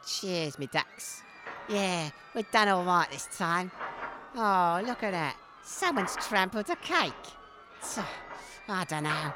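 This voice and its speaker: A woman's voice: fairly low pitch, lively intonation, slow, music in the background, poor audio. A woman voicing a scheming, crude mother of two sons who swears freely. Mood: gloating. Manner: blunt, crude, cheerfully sweary. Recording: background noise.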